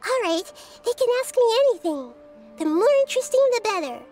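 A woman voicing a childlike goddess in a video game, soft-spoken and reflective, speaking in analogies. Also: very high voice, very animated, fairly quick, over music. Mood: gentle. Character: childlike girl goddess, gentle, calm and thoughtful.

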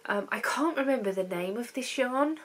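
A woman's voice: on the high side, lively intonation, moderate pace, fairly bright tone. A woman in her thirties with a blocked, stuffy nose from a cold, chatting to her webcam about knitting from her sofa at home, rambling with lots of ums. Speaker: knitting vlogger. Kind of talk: casual chat to camera. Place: living room at home, on a sofa.

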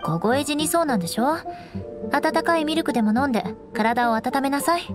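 A woman's voice: sits high, lively intonation, brisk, over music. A young woman acting a proud, composed noblewoman knight in an anime game, strict but caring, with elegant, instructing delivery. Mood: haughty, caring. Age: young adult. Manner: poised, elegant, haughty, instructing.

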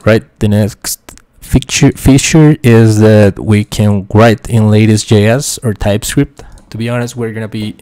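Low, flat-voiced man with a Costa Rican accent. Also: measured pace, hardly any pauses, clean tone, dry recording. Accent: Costa Rican Spanish. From Costa Rica. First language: Spanish. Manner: hesitant, many fillers and restarts, quietly talks to himself. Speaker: QA engineer teaching a software tutorial. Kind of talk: screen-share tutorial explanation.

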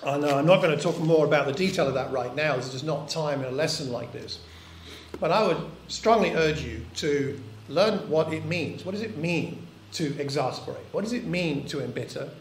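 An older man delivers a sermon from the front of a church, earnest and reflective, leaning on rhetorical questions. His voice sits a little high for a man. The room is echoey, with a faint hum of ambience behind him. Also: animated, normal speed. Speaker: preacher. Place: church hall.

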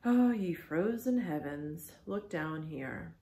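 A woman's voice: on the low side, animated, slow pace, mellow. A commanding old whaling captain, acted out by a woman, brooding and half mad, declaiming in archaic speech. The sound is echoey, in a bare room. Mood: impassioned, tender.

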